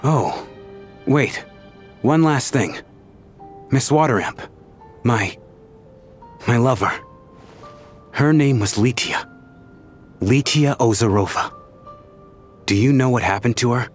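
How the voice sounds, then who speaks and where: An actor playing a gentle, weary dead man at peace, resigned and wistful, in a video game dub. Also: mid-range voice, slow, pauses often, lightly acted. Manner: wistful, resigned, plain-spoken. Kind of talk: English game dub voice acting. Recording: music under the voice.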